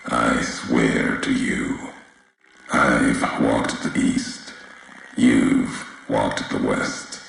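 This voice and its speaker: Grim vengeful wanderer from a video game, super gravelly, slow and solemn, cryptic speechy delivery. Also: male voice, steady tone, a bit bright, a little hiss or hum, heavily acted. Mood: brooding, vengeful. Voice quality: deep, gravelly, husky. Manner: solemn, measured, cryptic oratory with weighted pauses. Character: brooding, vengeful male wasteland messenger, grave and fatalistic. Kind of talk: dramatic video game character monologue.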